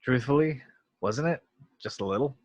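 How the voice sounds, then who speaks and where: A man playing an earnest actor famed as a heroic sci-fi captain in an amateur table read, halting and sheepish at times. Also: mid-range voice, a little mellow, no room sound, lo-fi sound, lightly acted. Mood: sheepish, nostalgic.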